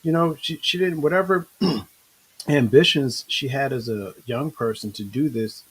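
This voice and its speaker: A middle-aged man hosting a casual online talk show, relaxed and rambling with many restarts. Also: mid-range voice, unhurried, breathy edge, rough recording. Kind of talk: casual live-stream talk.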